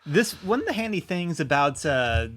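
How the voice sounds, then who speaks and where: An American man co-hosting a casual podcast, explaining things in a halting, rambling way and often correcting himself. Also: on the high side, very animated, a bit bright. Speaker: podcast co-host.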